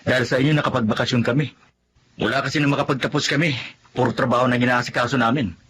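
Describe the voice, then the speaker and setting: An actor playing a dutiful, reassuring young adult son in a Filipino radio drama. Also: male voice, medium pitch, fairly even intonation, quick pace, a bit bright, slight background noise, natural voice. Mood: respectful.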